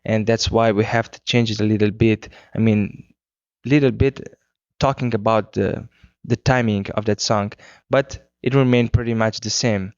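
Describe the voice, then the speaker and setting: A Cape Verdean man whose first language is Creole, answering interview questions in English with unhurried speech, searching for words. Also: lowish voice, fairly even intonation, a little mellow, clean tone, no echo. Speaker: guest singer-songwriter. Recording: muffled 2009 radio interview.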